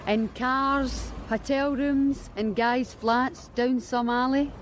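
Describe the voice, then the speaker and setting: A wry Scottish street worker. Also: female voice, high voice, fairly even intonation, slow pace, slight background noise. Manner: blunt, wry, matter-of-fact. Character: streetwise Glasgow street worker, young woman. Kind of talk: BBC radio drama acting.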